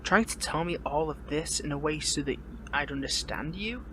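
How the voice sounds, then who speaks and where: A man's voice: fairly high pitch, lightly acted. Man acting a pained, defiant captive hero, noisy recording. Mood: confused, exasperated. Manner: halting, sardonic, stammering. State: pained, weary. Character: captive young male hero, defiant, wry, wounded. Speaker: voice actor in audio roleplay. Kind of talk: dramatic audio roleplay, one-sided dialogue.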